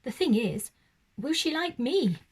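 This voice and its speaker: A woman's voice: high voice, expressive, a little slow, darkish tone, breathy edge, voice pushed up, heavily acted. A woman voicing a polite, earnest young male bear with a hesitant manner. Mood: anxious politeness.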